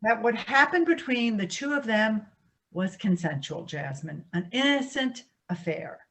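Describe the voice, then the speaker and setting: A woman's voice: a little high, lively intonation, a little slow, a bit bright. A woman acting in a staged play reading as a defensive, embittered divorcee with an evasive, formal way of talking.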